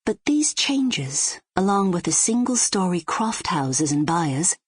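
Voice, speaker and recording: A Scottish woman reading literary narration for an audiobook in a measured, descriptive manner. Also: on the low side, very animated, breathy edge, no echo, studio quality.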